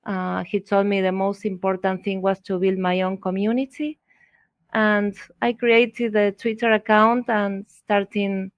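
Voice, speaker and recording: A middle-aged woman from Argentina with an Argentine accent, a native Spanish speaker, telling her story as an interview guest, halting as she searches for words. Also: mid-range voice, creaky, no room sound. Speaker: interview guest, visual artist. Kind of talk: conversational personal storytelling.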